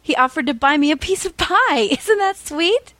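Woman acting a sweet, high-lilting young woman. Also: lively intonation, moderate pace, fairly bright tone, no echo. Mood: cheerful.

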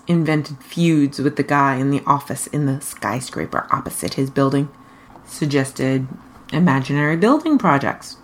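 A woman reading a scripted story aloud as a fan fiction narrator. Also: low-pitched. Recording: faint noise behind the voice.

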